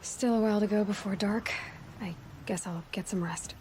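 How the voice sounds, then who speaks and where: An actress as a headstrong young huntress in a video game, with a mid-pitched voice. She is earnest, stubborn and quick to question, with clear ambient noise behind her. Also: lightly acted. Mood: calm, puzzled. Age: young adult. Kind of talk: video game cutscene voice acting.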